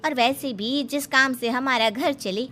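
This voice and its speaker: A woman's voice: high voice, measured pace. A woman playing a plucky, practical young village daughter-in-law in a cartoon, with rustic, emphatic speech. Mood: determined.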